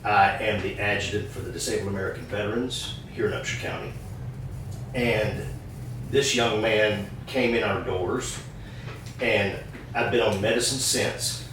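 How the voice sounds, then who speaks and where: A steady man with a low voice and a dry sense of humor, introducing himself. He sounds far from the mic in a very echoey room. Faint noise sits in the background. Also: measured pace. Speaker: guest, former Marine, veterans group officer. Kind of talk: casual personal introduction.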